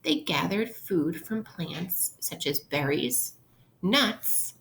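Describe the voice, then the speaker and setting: A woman with a slightly low voice reads aloud as a teacher would to young children. She takes her time, speaking very slowly and explaining in simple, instructional language. There is faint noise behind her. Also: lively intonation, creaky, fairly bright tone, rough recording. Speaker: elementary school teacher. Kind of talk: interactive read-aloud lesson for children.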